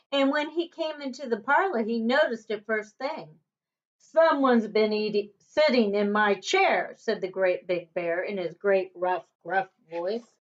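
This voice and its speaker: A woman reading a children's story aloud as a teacher would, in a deliberate storytelling manner with emphatic contrasts. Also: a little high. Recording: dull, lo-fi recording.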